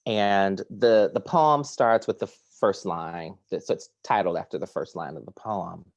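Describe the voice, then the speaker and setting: A man reading poems aloud at a virtual poetry reading from his home, measured and deliberate, introducing each piece with hesitant fillers. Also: deep voice. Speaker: poet reading at a virtual series. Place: home kitchen or similar domestic room. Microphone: video call.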